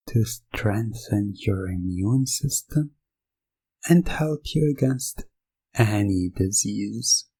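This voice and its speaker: A man playing a sinister doctor in a hypnosis ASMR roleplay, soothing and hypnotic, repeating himself with calm menace. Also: medium pitch, gravelly, exaggerated voice. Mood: polite, clinical. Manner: slow, soothing, hypnotic, repetitive.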